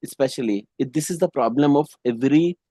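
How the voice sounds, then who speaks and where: A man from India, chatting casually as a practice partner, relaxed and hesitant with many fillers. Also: medium pitch, quick pace, no echo, clean studio sound. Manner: relaxed, hesitant, frequent "you know" fillers. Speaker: English conversation partner.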